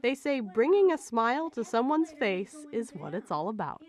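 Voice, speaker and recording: A woman's voice: fairly high pitch, big pitch swings, normal speed. A woman narrating a TV magazine feature in a polished, scripted voice-over. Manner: bright, polished voice-over delivery. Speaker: program narrator.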